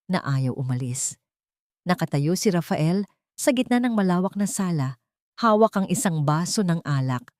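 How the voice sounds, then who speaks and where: A woman storyteller reading a scripted romantic drama in a solemn, measured, dramatic way. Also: on the low side, a little mellow, no echo, studio quality. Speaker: storyteller, narrator.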